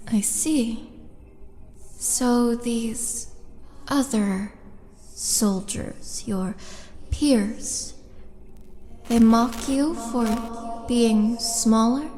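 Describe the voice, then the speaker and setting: A woman playing a tall, lonely orc oracle in an intimate roleplay audio drama, speaking softly and coaxingly with breathy, whispered touches. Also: a little high. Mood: tender, sympathetic. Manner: slow, coaxing, intimate, halting. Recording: long reverb, music behind.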